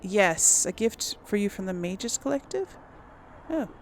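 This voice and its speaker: Woman, gaming host, unhurried pace, vocal fry, casual musing commentary, faint background noise. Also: mid-range voice, animated. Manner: hesitant, musing, thinking aloud. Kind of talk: casual game playthrough commentary.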